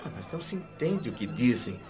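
An actor playing an inquisitive newcomer who wonders aloud at a crowded scene, in a radio play. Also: male voice, fairly high pitch, wildly swooping pitch, fairly quick, darkish tone, soft background music. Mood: wondering. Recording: dull lo-fi radio play audio.

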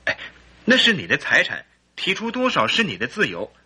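A man's voice: a little high, huge pitch swings, normal speed, bright, forceful tone, slightly rough, heavily acted. A man acting a polite, earnest, troubled English husband in his early thirties in a Chinese radio drama. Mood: concerned, puzzled.

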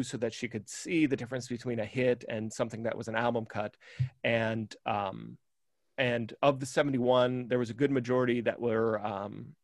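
Guy hosting a music podcast, talks fast with lots of ums, dry mic. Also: mid-range voice, dry recording. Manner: enthusiastic, rambling with many fillers. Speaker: podcast host. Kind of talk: casual music chat.

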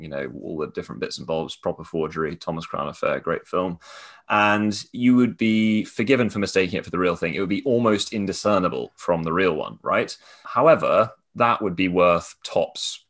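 A man's voice: deep voice, fairly bright tone. A man co-hosting a podcast, explaining things in a rambling, digressive way. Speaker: podcast co-host. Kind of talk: casual podcast chat.

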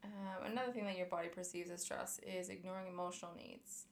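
A soft-spoken woman co-hosting a casual podcast, talking hesitantly with frequent pauses and stumbles. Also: fairly low pitch, fairly even intonation, a little hiss or hum. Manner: hesitant, many "um"s, stumbles over words. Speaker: podcast co-host.

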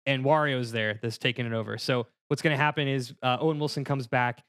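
A man's voice: mid-range voice, fairly even intonation, brisk, fairly bright tone, no echo, studio quality. A man chatting casually and comedically as a panelist on a podcast.

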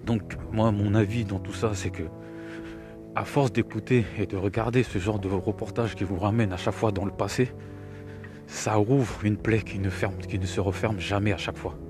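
A man's voice: lowish voice, fairly even intonation, normal speed, over music. A middle-aged man with a cold gives rambling, scornful commentary, often repeating his words. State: has a cold. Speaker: video commentator. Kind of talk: opinionated reaction commentary.

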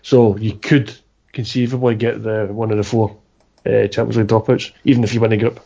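A man's voice: on the low side, little pitch movement, fairly quick, vocal fry, mellow, slightly rough. Man talking football, lo-fi. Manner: hesitant, many restarts. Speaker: podcast co-host. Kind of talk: casual football chat. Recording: dull lo-fi sound.

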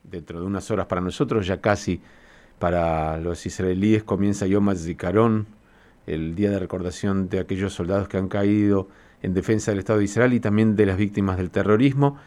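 Lower-voiced man hosting a radio-style interview, measured. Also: steady tone, darkish tone. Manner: measured, formal presenter delivery. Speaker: podcast host.

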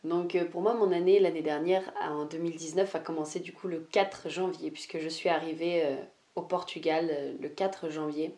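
A young woman hosting a solo podcast, talking without notes and often pausing with fillers and restarts. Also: sits low, fairly even intonation, mellow. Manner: hesitant, many "euh" fillers and restarts. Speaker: podcast host, life mentor. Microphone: podcast microphone.

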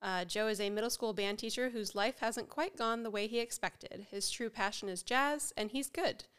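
A woman co-hosting a casual podcast chat with a head cold, sounding nasal and froggy, chatty and quick to laugh, with many fillers. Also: on the high side, fairly bright tone, smooth. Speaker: podcast co-host.

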